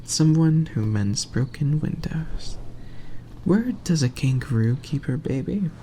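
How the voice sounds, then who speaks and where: A man reading aloud in a soft ASMR bedtime style, hushed and often slipping into a whisper. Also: fairly high pitch, lively intonation, a little slow, slight background noise.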